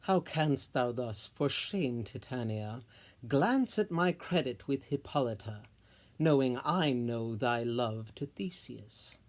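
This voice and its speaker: A solo reader voicing a proud, jealous fairy king with imperious, measured theatrical delivery. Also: mid-range voice, expressive. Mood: stern, accusing. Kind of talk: solo Shakespeare verse reading.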